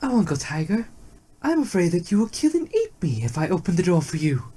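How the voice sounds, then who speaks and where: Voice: medium pitch, very animated, brisk, dark tone, breathy edge, pitched above the performer's own voice. A storyteller voicing a timid young female musk deer in a fairy tale, pleading, earnest and polite. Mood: frightened.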